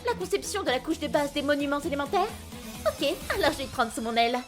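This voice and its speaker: A pompous lady scholar from a game , acted by a woman. She lectures in a high, youthful voice, proud of her learning, over clear background music. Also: lively intonation, fast. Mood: haughty, lecturing. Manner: pompous, didactic. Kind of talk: fan-dub game character acting.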